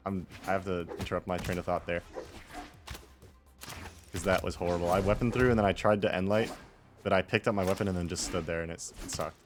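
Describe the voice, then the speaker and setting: A man's voice: low voice, steady tone, a little fast, long pauses, music in the background. A man giving casual, off-the-cuff commentary on his own game replay in a rambling, wry and self-deprecating way.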